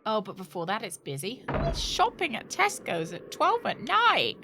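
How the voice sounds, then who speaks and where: A woman's voice: on the high side, huge pitch swings, moderate pace, background noise. A British woman chatting casually and thinking aloud to viewers as she streams a video game. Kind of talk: casual chat while playing a game.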